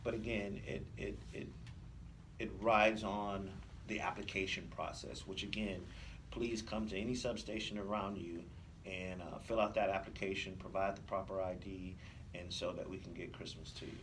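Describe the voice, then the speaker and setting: A male police officer with a fairly low voice gives explanatory interview answers. He is halting and repeats words, a little away from the mic with faint noise behind. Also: steady tone, fairly bright tone, far from the mic.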